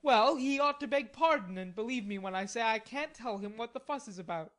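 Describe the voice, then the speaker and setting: Indignant, defiant young gentleman in a storybook, put on by a woman; higher and tenser than her own voice. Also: lively intonation, fairly quick, close to an everyday voice. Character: hot-tempered proud young gentleman.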